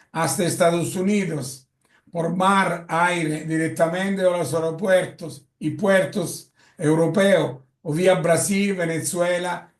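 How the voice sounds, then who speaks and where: Italian-accented man lecturing in an echoey room. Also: high-pitched. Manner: halting, with frequent fillers and self-corrections. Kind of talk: lecture presentation.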